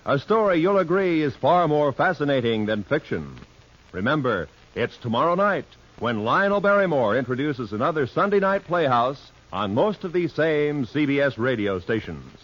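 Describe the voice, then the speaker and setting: A man's voice: fairly high pitch, big pitch swings, normal speed. A man with an American accent announcing in a scripted vintage network radio style. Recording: dull old radio broadcast recording.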